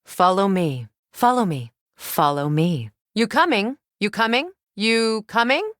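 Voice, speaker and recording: A woman playing a composed, commanding Norse goddess warrior in a video game, speaking in a measured, deliberate way. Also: medium pitch, lively intonation, a bit bright, no echo, clean studio sound. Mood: brisk, commanding. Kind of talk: game companion voice lines.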